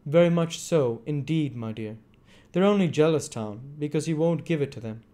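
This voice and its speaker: A man's voice: a little high, normal speed, mellow, voice pushed up, natural voice. A man voicing a sly, wheedling old crime boss with a coaxing, falsely affectionate manner in a storybook reading. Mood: flattering. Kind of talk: acted dialogue in a classic novel reading.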